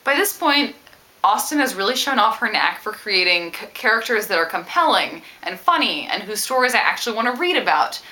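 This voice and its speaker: Bright-voiced woman discussing books. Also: mid-range voice, big pitch swings, a little fast. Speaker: book vlogger. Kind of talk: casual book discussion to camera.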